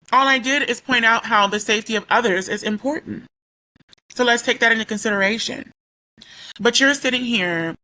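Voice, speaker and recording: A woman's voice: medium pitch, bright, forceful tone, rough recording. A woman recovering from losing her voice, talking indignantly in a commentary vlog and reading her own comments aloud, often correcting herself mid-sentence. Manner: indignant, rambling with self-corrections. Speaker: YouTuber.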